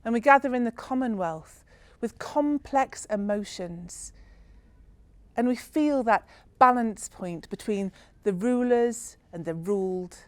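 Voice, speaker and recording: A woman storyteller giving a solemn, reflective spoken-word narration in a slow, ceremonial manner. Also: a little high, darkish tone, dry recording.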